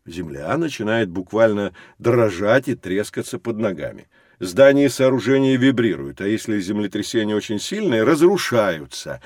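A low male voice with a very lively melody and a dry, close sound. He is patient and didactic, pausing for emphasis as he explains to children in an educational audio drama. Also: dry recording. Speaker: teacher.

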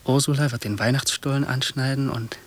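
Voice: mid-range voice, fairly even intonation, brisk, lightly acted. An actor playing a hesitant, calculating parent thinking aloud in a radio play, on a hissy old recording. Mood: hesitant, pondering.

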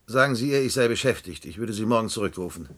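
Weary male official, very low husky voice, restrained, curt, radio drama. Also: expressive, fast talker. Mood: weary, matter-of-fact. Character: reserved, weary male official of a dying Earth. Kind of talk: German radio play acting.

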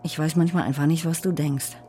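A man's voice: on the high side, steady tone, fairly quick, very noisy, natural voice. A man acting in a radio drama as a candid, concerned visitor, speaking earnestly and hesitantly. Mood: worried.

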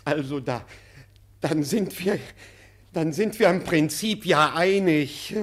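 An actor playing a fussy, deferential junior official in a German radio play, stammering with formal politeness and easily exasperated. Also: male voice, a little high, slow. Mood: eager, agreeing.

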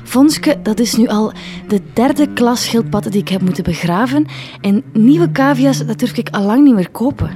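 A woman's voice: a little high, lively intonation, moderate pace, close to an everyday voice. A woman playing a melancholy, weary schoolteacher in a radio drama, sighing and hesitant. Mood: weary, sad. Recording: music behind the voice.

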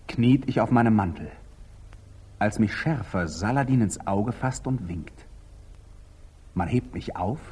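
An actor playing a proud, curt, sardonic knight templar in a theatrical 1940s German radio drama. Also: male voice, on the low side, a little mellow, slightly rough, exaggerated voice. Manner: clipped, theatrical, wry.

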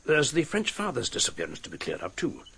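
A gruff British officer from a radio play. His voice is creaky and rough, with plenty of movement in pitch. He is brusque, sceptical and matter-of-fact. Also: wildly swooping pitch, fast, fairly bright tone, heavily acted. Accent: British English. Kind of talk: radio drama acting.

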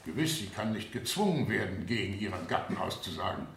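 An actor playing a stern, authoritative presiding judge in a courtroom radio drama, speaking formally and exactly. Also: male voice, on the low side, animated, a little fast, creaky, a bit bright, a little hiss or hum. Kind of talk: 1970 German courtroom radio drama acting. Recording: echoey room, 1970 radio production.

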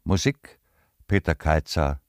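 A man's voice: a bass voice, slow pace, no echo, studio quality. A man announcing titles and credits for a radio drama from a script.

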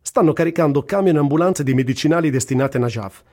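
An actor playing an Italian journalist volunteering in a war, narrating in the first person in a radio reading, earthy, tender and wry, with literary delivery and blunt asides. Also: male voice, on the high side, fast, a little mellow, close to an everyday voice. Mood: wry, brisk. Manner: dramatic literary recitation with blunt colloquial asides.

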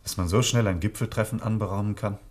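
An actor in a German radio play, playing a dutiful aide to a senior official, speaking politely and formally. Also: male voice, lowish voice, fairly even intonation, quick pace, darkish tone, close to an everyday voice.

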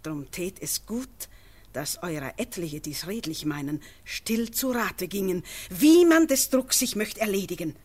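A resolute farmer's wife. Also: female voice, on the low side, animated, measured pace, husky. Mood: persuasive. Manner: earnest, persuasive verse delivery. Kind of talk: classic radio drama verse acting.

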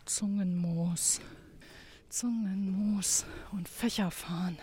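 A woman improvising poetic musings in a breathy voice. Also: medium pitch, fairly even intonation, drawn-out words, mellow.

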